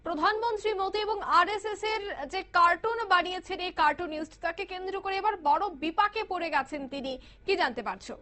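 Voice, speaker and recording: A woman anchors a news bulletin in a strikingly high-pitched voice. Her tone is bright and forceful, and her scripted delivery is formal and emphatic. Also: fast.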